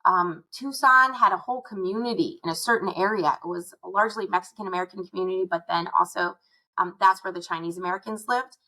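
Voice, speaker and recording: A chatty middle-aged woman from Arizona talks in a bright, forceful voice. She tells stories in a casual interview, quoting what she thought at the time. Her pitch sits in the middle. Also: fast, rough recording. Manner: chatty storyteller, quotes her own thoughts. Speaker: guest, curriculum founder.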